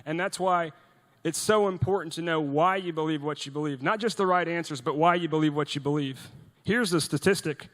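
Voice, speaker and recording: A young man from Norway, addressing a crowd with earnest conviction and fairly high pitch. He stumbles over restarts and throws in rhetorical questions. His voice rings with the long reverb of a large hall. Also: a little fast, fairly bright tone. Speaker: event speaker. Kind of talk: rousing speech to a youth audience.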